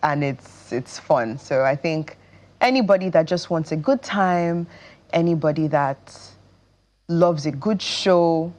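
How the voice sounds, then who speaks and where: Low, slow-paced Nigerian woman. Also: lively intonation, darkish tone. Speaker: interview guest, stage actress. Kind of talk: casual promotional interview.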